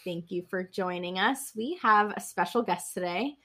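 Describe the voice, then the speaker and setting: A woman's voice: mid-range voice, normal speed, pushed and bright. A woman hosting a podcast, upbeat and welcoming as she introduces her guest. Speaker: podcast host.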